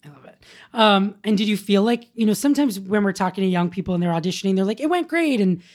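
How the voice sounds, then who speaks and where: A woman with a raspy voice hosting a casual interview and gushing over her guest. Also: medium pitch, expressive, fast talker, darkish tone. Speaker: podcast host.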